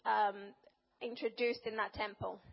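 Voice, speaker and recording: A woman's voice: medium pitch, little pitch movement, takes it slowly, vocal fry, breathy edge. A woman interpreting a sermon phrase by phrase, often restarting and correcting herself. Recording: long reverb, dull sound.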